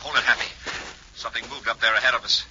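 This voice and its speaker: A man's voice: medium pitch, steady tone, fast, sharp tone, lo-fi sound, slight background noise. A man playing a decisive, heroic space patrol commander in a 1950s radio adventure, commanding and clipped, on an old recording. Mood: tense. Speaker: radio actor.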